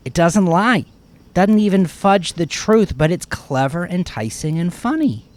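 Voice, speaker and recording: A man's voice: high-pitched, animated, measured pace, darkish tone. A man narrating a first-person story expressively, acting out the storyteller's reactions as he goes. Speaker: author narrating own horror story.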